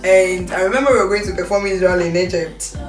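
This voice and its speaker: A woman with a Ghanaian accent chatting casually and reminiscing. She tells her stories in a lively way, laughs often, and acts out the voices of the people she quotes. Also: lowish voice, fast talker. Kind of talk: casual reunion chat, reminiscing. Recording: roomy sound, mic at a distance, music behind.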